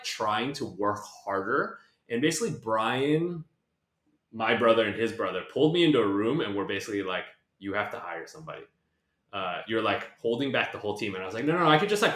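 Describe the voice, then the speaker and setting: A man's voice: on the low side, a bit bright. A man from Malaysia, a podcast guest, talking casually with lots of fillers and restarts. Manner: rambling, many fillers. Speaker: podcast guest, venture partner. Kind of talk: casual conversation. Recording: echoey room.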